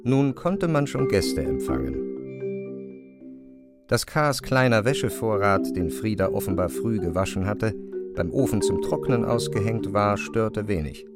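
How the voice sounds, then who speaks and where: A man's voice: fairly low pitch, lively intonation, a little slow, loud music behind the voice. A man narrating a literary story from a script as the narrator of a radio drama.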